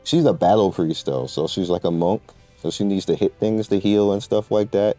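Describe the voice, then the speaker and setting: Deep-voiced man casually talking through a game. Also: normal speed, mellow, faint music behind. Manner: informal, slangy, rambling explanations. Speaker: gamer presenting a game guide. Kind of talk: casual gameplay commentary.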